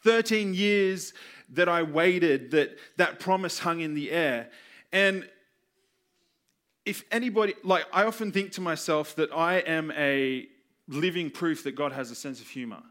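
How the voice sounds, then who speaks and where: A man preaching a sermon with personal stories, earnest and emphatic, using repetition and deliberate pauses. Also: on the high side, a bit bright. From Australia. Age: 20s. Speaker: youth pastor preaching.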